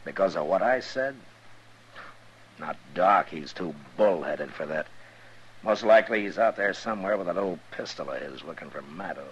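Deep-voiced, stern old-West marshal. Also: male voice, expressive, moderate pace. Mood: steady, concerned. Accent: American. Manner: authoritative, terse. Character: stern, steady frontier town marshal, adult man. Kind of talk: 1950s radio western acting. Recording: muffled, noisy 1950s radio recording.

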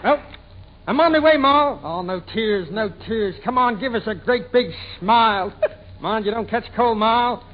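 Male impressionist as Cockney drifter son: very high, breezy, affectionate, restless; dull vintage radio sound. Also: a little slow. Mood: tender, restless. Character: cheeky, restless young Cockney drifter, tender to his mother. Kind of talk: 1940s radio impression of a film actor. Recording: muffled, noisy vintage radio recording.

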